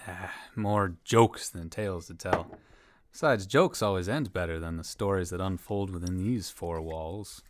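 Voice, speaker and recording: An actor playing a wry, crude bartender who talks in a folksy, colloquial way and tells jokes in an audio drama. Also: male voice, low-pitched, unhurried. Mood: sly storytelling.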